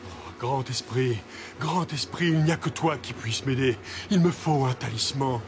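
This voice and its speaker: A man's voice: mid-range voice, a little slow, lightly acted. A man voicing a proud, scornful, hot-headed young hunter in a children's cartoon, dramatic and boastful. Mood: pleading. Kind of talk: animated children's series acting. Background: loud music.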